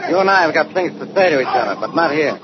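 A muffled, hissy vintage radio recording carries a man acting a blunt police inspector. He is sardonic and impatient, swinging widely in pitch in classic 1940s detective style. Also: lively intonation, fast talker, over a noisy crowd, natural voice. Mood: commanding. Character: gruff, sarcastic, irritable male police homicide inspector. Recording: muffled, noisy old-time radio recording.

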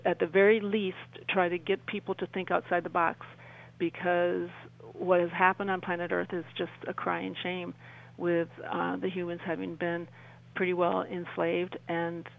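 A woman's voice: on the low side, flat delivery, moderate pace, gravelly, poor audio. A woman speaking earnestly and emphatically as a guest in a radio interview, often repeating phrases.

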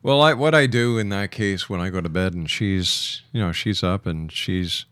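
A man's voice: low-pitched, moderate pace, fairly bright tone, clean tone, no room sound, clean studio sound. A middle-aged man hosting a radio interview in a casual, chatty way, repeating phrases for emphasis. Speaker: radio show host.

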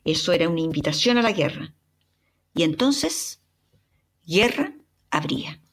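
A young Chilean woman with a Chilean accent and a breathy voice, dramatically telling a personal travel story as a podcast narrator. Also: fairly low pitch, a little slow. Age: young adult. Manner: expressive, dramatic retelling with sighs and exclamations.

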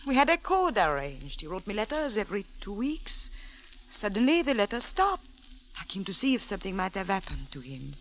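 Actress playing a secretive young French femme fatale, French accent, lively intonation, soft deliberate coquettish phrasing, old radio drama with faint noise. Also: female voice, medium pitch, natural voice, poor audio. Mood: guarded, earnest. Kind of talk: 1940s radio drama acting.

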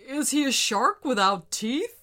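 Woman as nervous whale, polite, earnest, children's story, bright voice, lively pitch. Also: a little slow, full character voice. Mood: curious.

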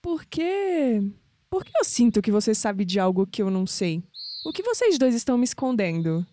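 An independent young Brazilian woman in an audio series, played by a woman, wry and questioning. Her voice sits fairly high and sounds close and dry, with a skeptical edge. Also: very animated, normal speed, mellow, no echo. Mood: suspicious. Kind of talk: audio drama acting.

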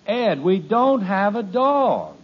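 A man's voice: high voice, sing-song, slow, husky, exaggerated voice. A man playing an exasperated American suburban father in a 1950s radio sitcom, middle-aged, with dry sarcasm. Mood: bewildered, exasperated. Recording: noisy, dull old radio recording.

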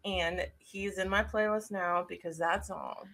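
A woman's voice: mid-range voice, steady tone, a little slow, fairly bright tone. A middle-aged woman co-hosting a casual fan podcast, talking with emphatic enthusiasm. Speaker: podcast co-host. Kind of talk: casual chat.

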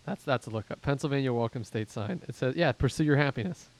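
A man from Pittsburgh hosting a casual fantasy football podcast, rambling and self-deprecating as he thinks out loud about the standings. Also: mid-range voice, quick pace. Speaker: podcast host and league commissioner.

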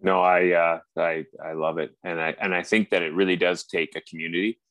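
Deep-voiced Canadian man, earnest and halting. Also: level tone, a bit bright, no room sound. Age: 40s. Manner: earnest, halting with frequent restarts. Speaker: podcast host. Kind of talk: casual conversation.

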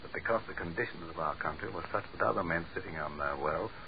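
An actor playing a doomed male dictator, cold and contemptuous, speaking in a measured, commanding way in a 1940s radio drama. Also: low-pitched, slight background noise. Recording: muffled 1940s radio broadcast.

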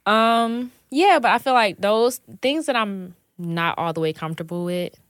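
A woman in her twenties talking as a podcast guest, candid and chatty, laughing easily and swearing freely. Her voice is mid-pitched and sits close and dry, with no room around it. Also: unhurried, a bit bright, no echo. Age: 20s. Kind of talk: casual interview chat.

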